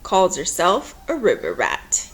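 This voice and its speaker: A woman voicing an easygoing, reassuring father in a storybook, gentle and a little wry. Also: on the low side, wildly swooping pitch, measured pace.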